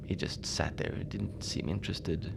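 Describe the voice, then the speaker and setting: A man playing a mild, lonely Antarctic station manager in a cozy horror audio drama, speaking in a hesitant, understated deadpan as he logs his shift. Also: low-pitched, normal speed, gravelly. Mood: musing, wistful. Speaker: narrator of a fiction podcast. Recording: noisy ambient bed behind the voice.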